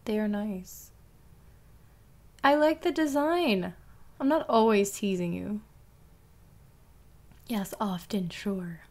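A woman acting a bossy young step-sister in an ASMR roleplay. She talks slowly in a casual, intimate way, with a middle-pitched voice. Her teasing lines often soften toward a whisper. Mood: teasing, relaxed.

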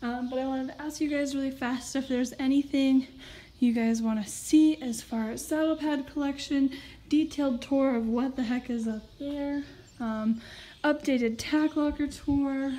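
A woman chatting casually to camera at a horse barn, giggly and quick with asides. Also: high-pitched, steady tone, mellow. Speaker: horse vlogger. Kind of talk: casual vlog chat to camera.

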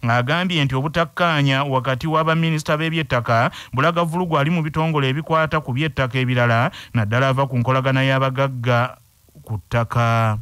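A man reading the news as anchor in a brisk, formal delivery. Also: medium pitch, fairly even intonation, a bit bright, smooth, no echo.

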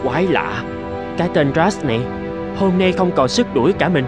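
A man's voice: fairly high pitch, expressive, normal speed, over music, natural voice. A man voicing a spiteful, cocky male sardine in a cartoon fable, mocking and gloating theatrically. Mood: scornful. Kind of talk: animated fable voice acting.

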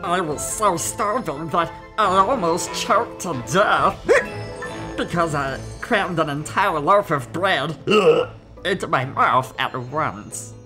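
A man playing a gluttonous, hiccuping ghost with a halting delivery in a cartoon fairy tale, over background music. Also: fairly high pitch, lively intonation, rough recording. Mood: sheepish, halting. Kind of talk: animated fairy tale acting.

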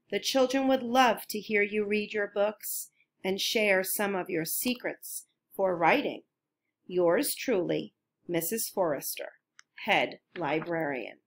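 A woman reading a children's picture book aloud as a storyteller, in a careful, expressive way. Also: mid-range voice. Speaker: storybook reader.

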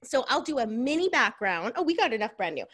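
A woman's voice: a little high, sing-song, brisk, a bit bright. A woman in her thirties from Southern California, leading an online workshop in a chatty way that keeps breaking off to read the chat, heard over a laptop mic on a video call. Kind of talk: casual live webinar teaching. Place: home room on a video call.

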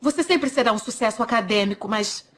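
A woman dubbing a blunt, clinical young neuroscientist in a Brazilian Portuguese TV dub, flat and matter-of-fact. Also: a little high, brisk, close to an everyday voice.

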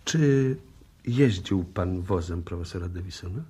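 An actor playing a calm, courteous, probing police inspector in a 1960s-style Polish radio drama, with measured questioning. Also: male voice, low voice, slightly rough, exaggerated voice. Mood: probing, polite. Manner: polite, measured, hesitant questioning.

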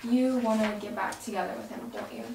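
A woman's voice: medium pitch, fairly even intonation, fairly quick, vocal fry, natural voice. A young woman playing a teasing, supportive teenage girl at a drama table read. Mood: gentle. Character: Zoe, teasing, supportive teenage best friend. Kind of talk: table-read acting, naturalistic dialogue. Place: home dining room, around a table. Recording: roomy, distant mic, faint noise.

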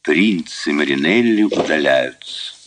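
A man's voice: a bass voice, lively intonation, a little slow, fairly bright tone, a little hiss or hum. A man narrating stage directions for a 1950s radio drama in a scripted style.

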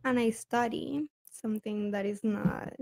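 A soft-spoken woman from Venezuela with a Venezuelan accent, a podcast guest chatting casually. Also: on the high side, steady tone, a little slow, gravelly. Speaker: guest panelist. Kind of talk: casual chat.

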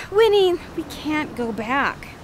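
Audio drama: reasonable mom, very varied intonation, higher voice. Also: female voice, very animated, takes it slowly, background noise, heavily acted. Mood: patient, wry. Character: reasonable, good-humored mother.

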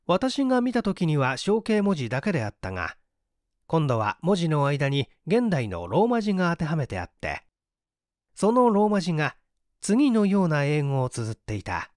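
A man's voice: on the high side, wildly swooping pitch, moderate pace, a little mellow, no room sound, clean studio sound. A man reading a mystery story aloud as scripted literary narration. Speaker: audiobook reader.

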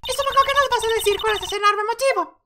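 A man's voice: extremely high pitch, quick pace, pushed and bright, slight background noise, pitched above the performer's own voice, full character voice. A man voicing a haughty, threatening young female prosecutor in a video game, imperious and taunting. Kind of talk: video game courtroom dialogue dubbing.

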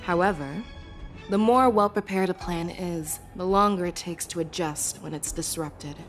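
A woman voicing a calm commanding general. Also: fairly low pitch, faint music behind, natural voice. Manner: measured, lecturing, hushed at times. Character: commanding female general, calm sharp strategist. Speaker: military general. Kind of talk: game cutscene voice acting.

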